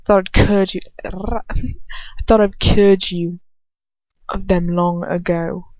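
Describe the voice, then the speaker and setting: A woman's voice: lowish voice, little pitch movement, takes it slowly, no room sound, highs cut off. A woman voicing a bitter, anguished male villain and lover, speaking with contempt and intensity. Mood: bitter resentment.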